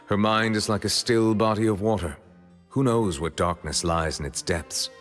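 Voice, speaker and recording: An actor playing a composed, formal male chief justice who speaks deliberately and with courtesy. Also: lowish voice, fairly even intonation, a bit bright, big-room sound, soft background music, natural voice. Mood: reflective. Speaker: game character. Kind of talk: studio game voice acting.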